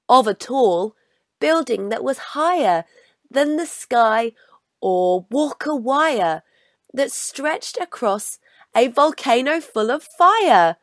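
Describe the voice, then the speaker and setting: Slow-paced, higher-voiced woman reading a storybook. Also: very animated, no echo, clean studio sound. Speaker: storybook reader. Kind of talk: scripted children's story read-aloud.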